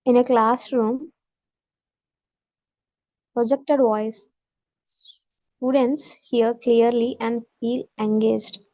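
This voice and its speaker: Creaky-voiced Telugu woman teacher, muffled audio. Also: fairly high pitch, flat delivery, very slow, long pauses. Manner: code-switches between Telugu and English, instructional. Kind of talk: classroom-style lecture, reading English notes and explaining them.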